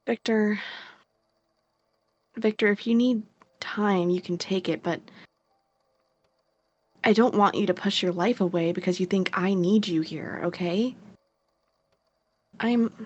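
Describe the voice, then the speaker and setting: An actress playing a teasing, caring young sister in a naturalistic audio drama. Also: female voice, mid-range voice, takes it slowly, pauses often, highs cut off. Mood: gentle, earnest. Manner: playful, teasing, sarcastic.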